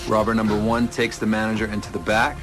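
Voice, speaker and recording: Low-voiced matter-of-fact investigator played by a man. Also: completely flat delivery, quick pace, close to an everyday voice. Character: matter-of-fact male police investigator narrating security video. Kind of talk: police procedural audio drama acting. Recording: prominent music underneath.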